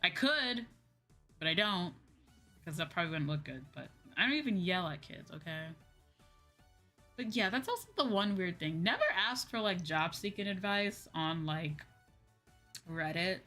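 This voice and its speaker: A woman's voice: lowish voice, expressive, normal speed, pauses often, sharp tone. A young woman streamer chatting casually on a livestream, rambling and repeating her phrases for emphasis. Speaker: VTuber streamer. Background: background music.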